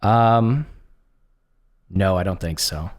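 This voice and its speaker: A man who is coming down with a cold, talking casually and haltingly to camera, with frequent restarts and ums. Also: sits low. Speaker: content creator.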